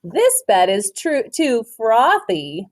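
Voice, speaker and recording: A woman's voice: a little high, huge pitch swings, slow, slight background noise, full character voice. A woman voicing a fussy young male bear in a storybook.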